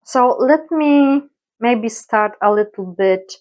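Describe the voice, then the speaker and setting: Slow, hesitant woman with a Polish accent. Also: fairly high pitch, expressive, a little mellow. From Poland. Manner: hesitant, many fillers, slow and thoughtful. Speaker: YouTuber. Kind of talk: casual introductory vlog.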